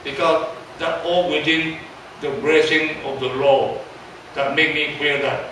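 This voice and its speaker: Older Taiwanese pastor in an echoey hall. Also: male voice, on the high side, flat delivery, fairly bright tone. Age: 70s. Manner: halting, repeats words, asks the audience questions. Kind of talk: storytelling sermon. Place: church hall. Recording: echoey church hall from far off with background noise.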